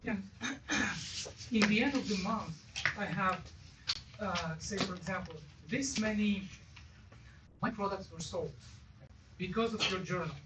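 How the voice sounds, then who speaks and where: An audience member gives feedback at a business meeting in a halting, repetitive and insistent way. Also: mid-range voice. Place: event room with audience. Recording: distant mic, echoey room, clear noise.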